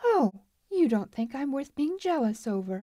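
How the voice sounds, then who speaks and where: A woman's voice: a little high, expressive, normal speed, warm tone, dry recording, studio quality, voice pushed up, close to an everyday voice. A woman voicing an impatient, smitten young frontier woman in a western story. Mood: hurt, defiant.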